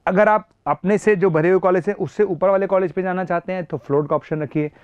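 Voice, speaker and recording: A man's voice: high-pitched, fairly even intonation, quick pace, clear, resonant tone, no room sound, muffled recording. A man with a sore throat explaining an admissions process as a teacher, repeating key points emphatically and mixing in phrases. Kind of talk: explanatory lesson.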